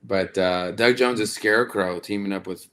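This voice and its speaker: A man with a slightly raspy voice hosting a casual livestream chat, rambling warmly with lots of fillers and restarts. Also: lowish voice, moderate pace, a little hiss or hum. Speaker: livestream host. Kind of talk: casual live chat with viewers.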